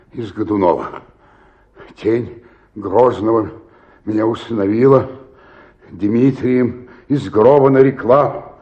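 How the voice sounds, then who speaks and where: An aging stage tragedian, grandiose and self-pitying. His delivery is theatrical and unhurried, leaping from murmur to roar across a very wide range. It is a radio play with a slightly dull sound. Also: mid-range voice, darkish tone. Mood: rapturous, nostalgic.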